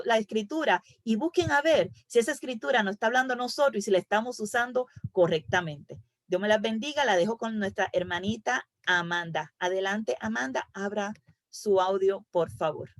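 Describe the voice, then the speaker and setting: A woman's voice: medium pitch, dry recording. A woman leading a Bible study for women, teaching earnestly and asking rhetorical questions over an online call.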